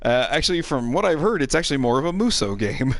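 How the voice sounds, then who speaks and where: A man's voice: mid-range voice, sing-song, quick pace, fairly bright tone, dry recording. A man hosting a game stream with casual, sarcastic and irreverent chat. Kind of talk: casual chat over gameplay.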